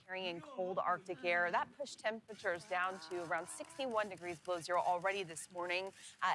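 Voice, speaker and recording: A woman presenting the weather on a TV newscast in a conversational style. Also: lowish voice. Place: TV news studio.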